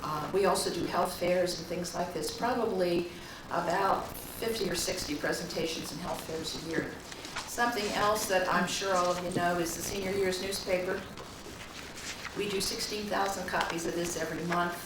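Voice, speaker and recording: A woman, hoarse from a cold, giving an informative presentation at a county meeting with frequent ums. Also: lowish voice, fairly even intonation, normal speed. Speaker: county office director. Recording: echoey room, distant mic, background noise.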